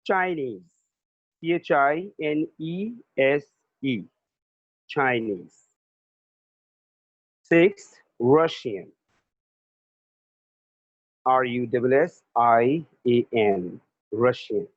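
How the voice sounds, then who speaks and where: A man's voice: medium pitch, animated, very slow, pauses often, no echo. A male teacher giving a class lesson remotely, repeating each answer and spelling words out letter by letter. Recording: tinny, phone-like lo-fi sound.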